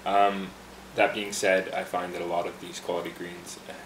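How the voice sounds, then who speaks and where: A man working behind a tea bar casually explains how to brew a green tea, talking in a relaxed way with fillers and restarts. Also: low-pitched, normal speed, roomy sound, a little hiss or hum, rough recording. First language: English. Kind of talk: casual instructional demonstration.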